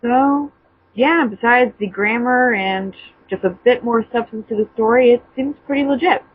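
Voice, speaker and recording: A woman's voice: on the high side, moderate pace, slightly rough, narrow, tinny audio. A woman giving a casual review as a co-reader, hesitantly searching for words.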